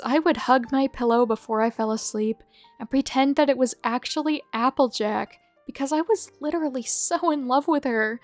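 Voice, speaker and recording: A woman hosting a video who reads out short fan confessions one after another in a brisk, matter-of-fact way. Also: a little high, dry recording. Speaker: host reading viewer submissions. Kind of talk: reading aloud a list of fan confessions.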